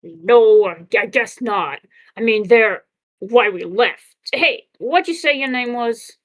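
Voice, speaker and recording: A woman's voice: on the high side, animated, takes it slowly. A woman voicing a defiant, folksy robot drifter in an amateur comic dub.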